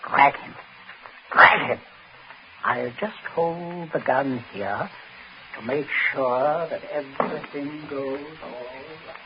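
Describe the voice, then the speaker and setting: An actor in 1940s radio style playing a controlling, middle-aged father, smooth, commanding and falsely genial. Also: mid-range voice, takes it slowly, lightly acted. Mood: cold, menacing. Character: cold, controlling English father coercing at gunpoint, falsely genial. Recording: muffled, noisy 1940s radio recording.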